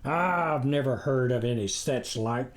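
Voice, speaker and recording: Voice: medium pitch, unhurried, natural voice. A narrator playing a no-nonsense farmer, a husband who is mannerly but suspicious, blunt and questioning. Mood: demanding.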